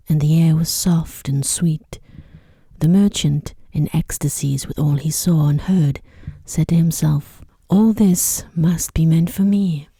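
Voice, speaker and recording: Very soft, mellow, breathy woman narrator. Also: low voice, no echo, clean studio sound. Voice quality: soft, breathy, often near whisper. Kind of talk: soft-spoken ASMR sleep story narration. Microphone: close microphone.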